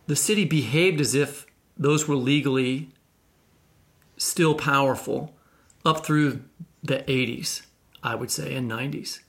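An American man co-hosting a podcast, explaining things conversationally with halting false starts. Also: medium pitch, vocal fry, fairly bright tone. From Kansas City, United States. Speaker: podcast co-host and novelist.

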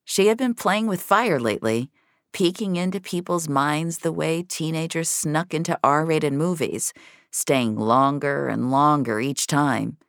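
Gravelly woman narrating dark fiction. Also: low voice, a little slow, dry recording, studio quality. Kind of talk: dramatic scripted fiction narration.

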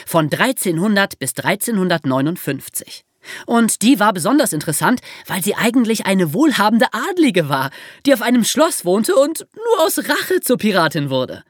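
Very animated, lower-pitched woman podcast host. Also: dry recording, studio quality. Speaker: podcast host telling history. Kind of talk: lively explanatory storytelling for young listeners.

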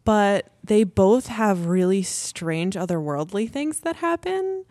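A woman's voice: medium pitch, lively intonation, takes it slowly, a little mellow, no room sound. A woman co-hosting a casual podcast, sharing opinionated reviews in a chatty way. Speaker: podcast co-host. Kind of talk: casual chat.

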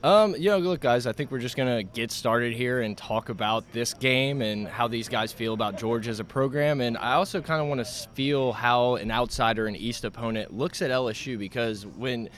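A man's voice: medium pitch, normal speed, few pauses, fairly bright tone. A man co-hosting a casual sports podcast, friendly and chatty with hesitant starts. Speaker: podcast co-host.

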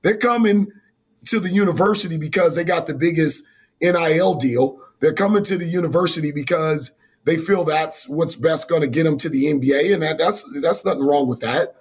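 A man in his forties, a college basketball coach, talking earnestly in an interview with a voice that is going hoarse, often restarting his sentences. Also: fairly high pitch, a little fast. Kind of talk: interview answers.

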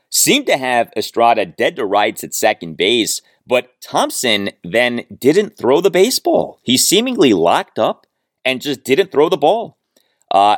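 An American man hosting a sports podcast, giving a solo baseball recap with emphatic, deliberate phrasing and dramatic pauses. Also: deep voice, dry recording, studio quality.

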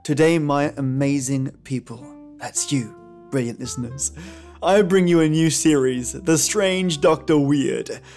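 A man's voice: fairly high pitch, unhurried, darkish tone. A man hosting a podcast, giving a scripted, chummy, theatrical introduction to old radio tales. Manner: chummy, theatrical, addresses listeners as mates. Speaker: podcast host. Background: music.

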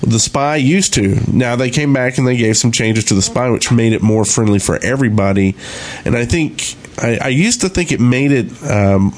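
A man's voice: mid-range voice, normal speed, hardly any pauses. Casual male gaming podcast co-host.

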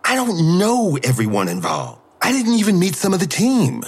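An irritable male artist in an audio drama. His voice is high for a man and swings widely in pitch. He is guarded, curt and combative, with a defiant temper. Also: huge pitch swings, normal speed, slightly rough, heavily acted. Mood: defensive.

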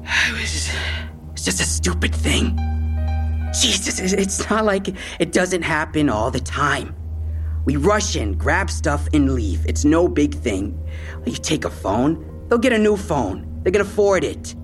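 A wary young street robber over music. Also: male voice, on the high side, big pitch swings, a little slow, fairly bright tone. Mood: agitated, defensive. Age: young adult. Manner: slangy, clipped, defensive. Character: wary, defensive young male street robber seeking protection. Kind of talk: audio drama acting. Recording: music bed behind the voice.

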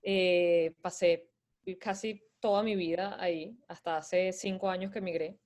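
A woman from Venezuela with a Venezuelan accent, a podcast guest talking in a reflective, measured way. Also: on the low side, fairly even intonation, a little mellow. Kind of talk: casual conversational interview.

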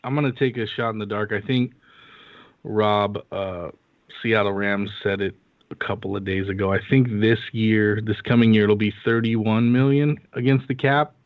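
A man's voice: lowish voice, steady tone, moderate pace, no room sound, narrow, tinny audio. Blunt, cursing man co-host. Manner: blunt, swearing, exasperated. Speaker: podcast co-host. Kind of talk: casual podcast chat.

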